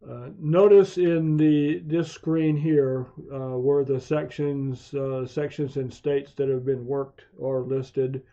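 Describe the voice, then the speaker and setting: A man with a very dark, mellow voice walks through a software tutorial. He speaks slowly and methodically at a medium pitch. The recording is dull and lo-fi. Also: level tone. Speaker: amateur radio hobbyist hosting video tutorials. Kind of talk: software walkthrough narration for a screen tutorial. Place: home radio shack. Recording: dull, muffled lo-fi voice track.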